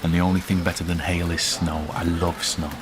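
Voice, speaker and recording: A man's voice: sits low, little pitch movement, brisk, lightly acted. An actor playing a dry, self-deprecating man from in his thirties, speaking in a radio drama. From Yorkshire. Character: man from Yorkshire living in London, wry and self-deprecating. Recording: radio drama with background noise.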